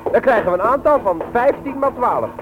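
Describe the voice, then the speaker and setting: An actor playing a brash, calculating young petty thief in a 1960s radio drama, on a dull recording. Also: male voice, very high voice, expressive, brisk. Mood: cocky, calculating. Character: cocky scheming youth, leader of petty book thieves. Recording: dull old radio recording, music behind. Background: music.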